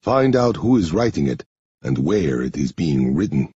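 A man voicing a guarded, serious male guardian with a terse, measured manner in an audiobook. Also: a bass voice, sing-song, mellow, slightly rough, dry recording, lo-fi sound, pitched below the performer's own voice, exaggerated voice. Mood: grave.

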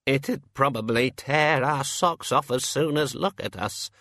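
A man's voice: medium pitch, big pitch swings, fairly bright tone, no room sound, studio quality, heavily acted. Man doing an anxious, plaintive, drawling senior wizard. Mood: fearful moaning. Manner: slow, hesitant, moaning.

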